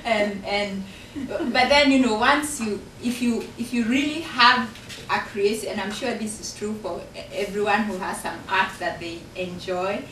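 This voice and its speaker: A woman's voice: mid-range voice, a little slow, fairly bright tone, far from the mic, slight background noise. A woman from Kenya with a Kenyan accent, an author and professor talking earnestly about her book to an audience in a university function room. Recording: echoey room recorded from afar.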